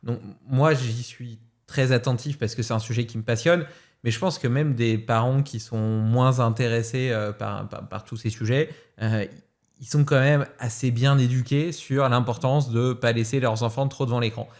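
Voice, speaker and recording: A man hosts a casual podcast conversation. His voice sits at an ordinary middle pitch. He talks reflectively and hesitates often as he thinks aloud. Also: fairly even intonation, moderate pace. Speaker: podcast host.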